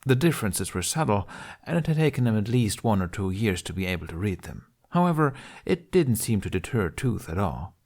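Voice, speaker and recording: A man's voice: on the low side, sing-song, a little fast, a little mellow. A man reading a fantasy story aloud as scripted narration. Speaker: podfic narrator.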